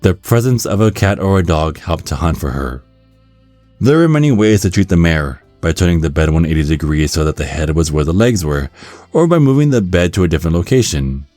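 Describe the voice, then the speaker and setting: A man with a very low voice narrates a scripted ASMR guide at a measured, wry remove. The recording is very dry, with no room sound, and music plays faintly behind him. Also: expressive, mellow, no room sound.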